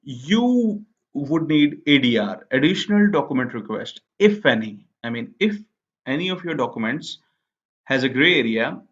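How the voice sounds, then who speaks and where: A man's voice: mid-range voice, fairly bright tone. A young man, an Urdu speaker, explaining a process step by step in a casual tutorial, often checking in with "okay". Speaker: immigration explainer. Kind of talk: casual screen-share tutorial. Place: small indoor room. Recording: slightly dull lo-fi sound.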